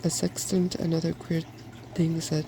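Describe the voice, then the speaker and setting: A soft-spoken man reading a fairy tale aloud in ASMR style, in a gentle, measured storytelling manner. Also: on the high side, monotone, a little hiss or hum. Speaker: storybook reader.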